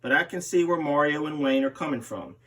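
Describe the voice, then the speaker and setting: A man's voice: on the high side, a little fast, fairly bright tone. A man reading a magazine interview aloud as a host, voicing the interviewee's part. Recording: distant microphone.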